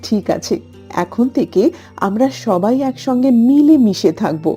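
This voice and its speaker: A woman voicing a kindly old mother cow in a cartoon, husky, tender and self-sacrificing. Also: medium pitch, lively intonation, normal speed, warm tone, faint music behind. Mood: gentle, reassuring. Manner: tender, pleading.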